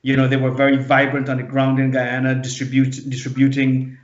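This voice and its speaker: A man in his fifties, a guest on a panel, recalling his own past in a halting, earnest way and often restarting words. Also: mid-range voice, monotone. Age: 50s. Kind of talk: personal recollection in a panel discussion. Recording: dull, echoey remote audio.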